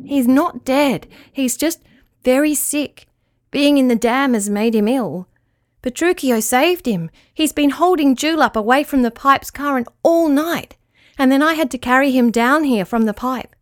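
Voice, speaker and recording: A woman voicing a kind, gentle male four-legged animal who speaks earnestly. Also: sits high, unhurried, no room sound, studio quality, voice pushed up. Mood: reassuring.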